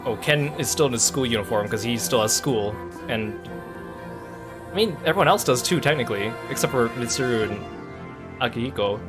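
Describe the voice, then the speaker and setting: A man's voice: mid-range voice, expressive, brisk. A man giving casual, rambling let's play commentary on a game, in a conversational way. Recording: game music behind voice. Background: video game music and dialogue.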